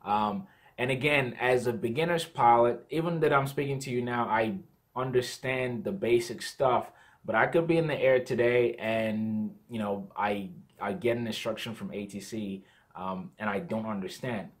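A man's voice: mid-range voice, normal speed. A young man speaks as a host with a mid-range voice. He explains things in a casual, conversational way, talking straight to the camera in a small room at home. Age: young adult. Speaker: host, pilot.

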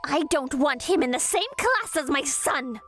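Furious anime mom, shrill and high, accusing, swoops around. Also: female voice, lively intonation, moderate pace, fairly bright tone, soft background music. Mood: outraged. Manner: accusing, exclamatory. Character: furious, shrill, overprotective mother of a schoolboy. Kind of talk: manga dub voice acting.

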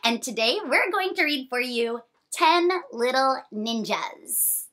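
Animated, bright-voiced woman reading to children. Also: high-pitched. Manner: expressive, sing-song, drawn-out reading to a young child. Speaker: host reading children's books aloud. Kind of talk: children's picture-book read-aloud with counting along.

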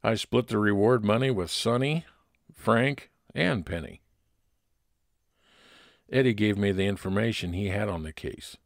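A man's voice: deep voice, vocal fry, dry recording, studio quality, full character voice. A man playing a private investigator who narrates his case plainly, in old time radio detective style.

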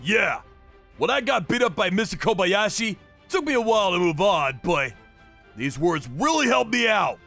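Hot-headed anime gangster, high voice, gravelly, brash, excitable, young. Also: male voice, moderate pace, faint music behind, exaggerated voice. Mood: earnest. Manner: emphatic, excitable delivery. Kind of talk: anime voice acting.

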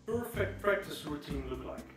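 A man's voice: a little high, expressive, moderate pace. A man, casually asking questions from behind the camera. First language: Dutch. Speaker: off-camera interviewer. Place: living room, behind the camera. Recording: echoey room, prominent music. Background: music.

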